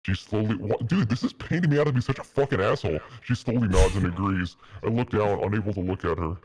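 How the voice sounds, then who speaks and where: A man's voice: lowish voice, fast talker, a little mellow, a little hiss or hum. A man reading fan fiction aloud on a stream, mock-earnest and melodramatic, with a casual style. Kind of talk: dramatic narration with casual asides.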